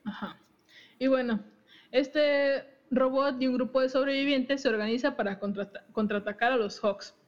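Giggly woman with higher voice. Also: fairly even intonation, normal speed. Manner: giggly, chatty, self-interrupting. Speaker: podcast host. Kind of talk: casual chat explaining game lore. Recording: dull lo-fi audio.

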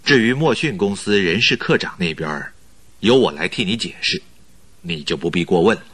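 An actor playing a glib, jovial recruiter in a radio drama, speaking in a hearty, polite and reassuring manner. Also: male voice, deep voice, expressive, moderate pace, a bit bright, slightly rough, heavily acted. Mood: smooth, persuasive. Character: glib, jovial male recruiter, smoothly persuasive schemer.

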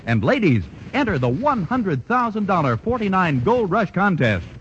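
A male announcer giving an emphatic sales pitch in a 1940s radio broadcast. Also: sing-song, moderate pace, a bit bright. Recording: lo-fi old radio broadcast with faint hiss.

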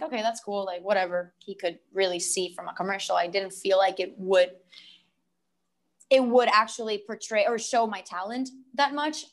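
A young woman from Rico, telling her story as a guest in a lively, rambling way with lots of "like". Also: mid-range voice. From Puerto Rico. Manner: animated, rambling storyteller, frequent "like". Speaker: guest actress. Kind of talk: casual interview storytelling.

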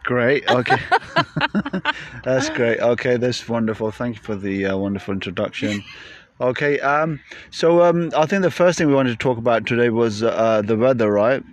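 A man's voice: medium pitch, lively intonation, moderate pace. A British man hosting a podcast, chatting casually outdoors with plenty of hesitations and fillers. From Britain. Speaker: podcast host. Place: outdoors in a busy public spot.

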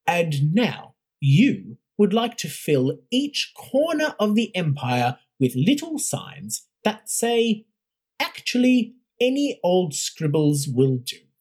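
A woman's voice: fairly low pitch, sing-song, slow, a little mellow, slightly rough, voice pushed up, exaggerated voice. A narrator voicing a stern, commanding woman who heads an imperial academy, speaking with cutting scorn. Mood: scornful.

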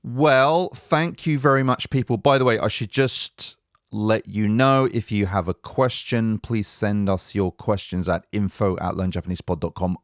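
Upbeat guy hosting a podcast, low-ish voice, very dry sound. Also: no room sound. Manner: upbeat, chatty, laughs often. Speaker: podcast host. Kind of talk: casual podcast chat.

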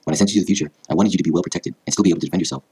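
A man voicing a wise, gentle queen mother who gives formal advice in an anime fan story. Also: deep voice, very fast, breathy edge.

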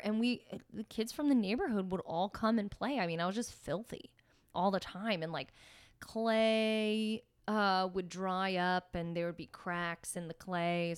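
A woman who grew up in Staten Island and Pennsylvania, co-hosting a casual chat podcast and telling animated, playful stories. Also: on the low side, clear, resonant tone, no room sound, clean studio sound. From Staten Island, New York, then Pennsylvania. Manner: animated storytelling with playful bits. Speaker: podcast co-host.

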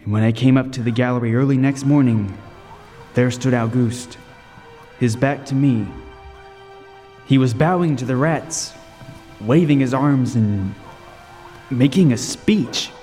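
A man playing a haunted lighthouse keeper, narrating a horror tale in deliberate, dramatic radio-play style. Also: medium pitch, warm tone, natural voice. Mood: haunted fascination. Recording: long reverb, music underneath.

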